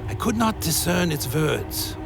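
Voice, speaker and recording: A gravelly, entranced dark fantasy inquisitor. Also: male voice, fairly high pitch, big pitch swings, measured pace, full character voice. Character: Alaric, grand inquisitor, a man in dreamy, defiant blood trance. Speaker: narrator and lead character. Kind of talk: dark fantasy radio play narration. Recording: dramatic music behind. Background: loud music bed.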